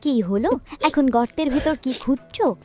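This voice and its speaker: Woman playing cartoon fox wife, sharp-tongued, sarcastic, scolding, raised pitch, faint music underneath. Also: animated, moderate pace. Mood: reproachful. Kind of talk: comic cartoon voice acting.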